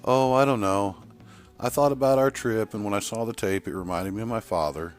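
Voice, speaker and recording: A man's voice: lowish voice, brisk, faint music behind, pitched above the performer's own voice, lightly acted. A man voicing a wistful woman who earnestly recalls her trucker father in an audio story. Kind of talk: acted dialogue in an audio story.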